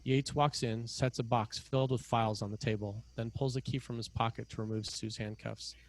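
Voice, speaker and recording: A man's voice: medium pitch, fairly even intonation, brisk. A man reading scene headings and stage directions as the narrator of a screenplay table read.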